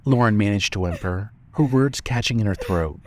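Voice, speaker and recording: A man's voice: lowish voice, normal speed, a little mellow, a little hiss or hum. A man narrating a scripted dramatic story as an audiobook-style narrator.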